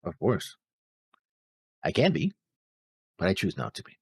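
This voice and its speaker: Husky low-voiced man, creaky and rambling. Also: huge pitch swings, darkish tone, no echo, clean studio sound. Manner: halting, rambling, trailing off. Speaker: co-host of an anime reaction show. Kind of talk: casual banter.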